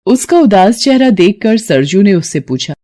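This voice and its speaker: A woman's voice: medium pitch, sing-song, normal speed, dark tone. A woman storyteller reading a scripted children's tale as narrator.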